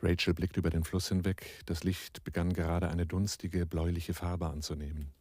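An actor playing an American investigator who narrates and leads in a low-key, matter-of-fact way in a German radio drama. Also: male voice, level tone, normal speed, a little mellow. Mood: calm narrating. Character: American man narrating, leading a small band of investigators. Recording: noisy 1980s radio drama recording.

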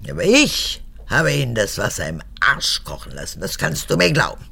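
A woman's voice: very animated, moderate pace, sharp tone, full character voice. An actress playing a capricious, manipulative old woman, an unrepentant former camp guard, in a radio drama, with theatrical swings between whispers and outbursts. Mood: hard, boastful. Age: older. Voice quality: husky, gravelly, low.

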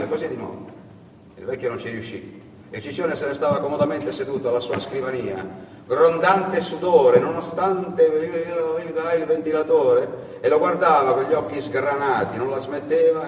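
A man narrating a dramatic monologue as a stage storyteller, in theatrical, repeated phrases with pauses. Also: medium pitch. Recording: echoey hall, distant mic, background noise.

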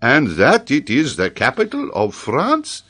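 A man voicing an excitable, theatrical French geographer in a storybook, exclamatory and mock-admiring, drawing out his words. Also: mid-range voice, takes it slowly, voice pushed up, exaggerated voice. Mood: amused astonishment.

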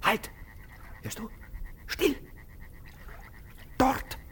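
Fearful man in night fog, slow hushed urgency, old noisy radio drama. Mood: alarmed. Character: frightened passer-by in night fog. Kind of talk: 1950s radio drama acting. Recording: distant, noisy 1950s radio recording.

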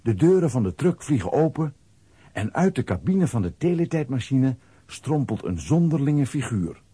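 A man with a husky voice narrating a scripted children's audio play. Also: medium pitch, sing-song, moderate pace, dark tone, no echo.

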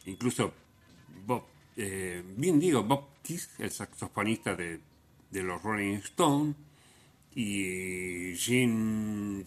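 A man hosting a radio show, telling music stories unscripted in a halting way, repeating phrases for emphasis. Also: fairly low pitch, a bit bright, slightly rough. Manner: halting, with frequent repetition for emphasis. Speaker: radio host.

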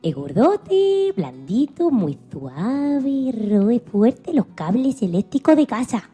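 Woman playing a husky, animated, disdainful child storyteller. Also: a little high, slow pace, soft background music, full character voice. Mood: mocking, disdainful. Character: disdainful child narrator complaining about a silly pet rabbit. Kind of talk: radio play storytelling for children.

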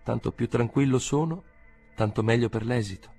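Guy doing a tired nephew in an audiobook, calm, careful, keeps it restrained and measured. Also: mid-range voice, faint music behind, voice pushed up, natural voice. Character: weary, composed man, calm and evasive nephew.

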